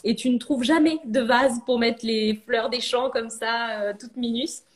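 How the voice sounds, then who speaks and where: A woman's voice: fairly high pitch, fairly even intonation, unhurried. A French woman in her thirties, a ceramic artist, talking casually in a podcast interview, hesitant with many fillers and restarts. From France.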